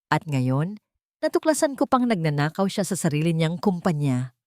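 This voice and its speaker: A woman's voice: deep voice, big pitch swings, moderate pace, darkish tone, no echo, clean studio sound. A woman voicing a scheming wife who accuses with theatrical, feigned anguish in an audio drama. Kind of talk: melodramatic audio drama acting.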